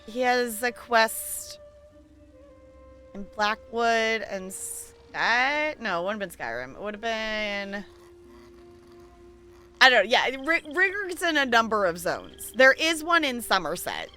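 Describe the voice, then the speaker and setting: A woman chatting casually and playfully while livestreaming a game, thinking out loud as she goes. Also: fairly high pitch, very animated, takes it slowly, soft background music. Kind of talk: casual stream chat while playing, reading game dialogue aloud.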